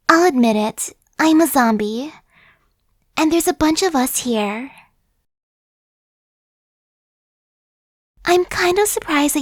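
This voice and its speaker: A woman's voice: sits high, dry recording, studio quality. A woman voicing a chatty, friendly female zombie who coaxes and persuades in a casual, sly way, in an ASMR roleplay. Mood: coaxing.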